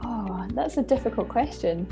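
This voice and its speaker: A woman's voice: on the high side, lively intonation, moderate pace, gravelly, warm tone, soft background music. A woman from Scotland talking in a documentary interview as a business coach. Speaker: business mentor and personal coach.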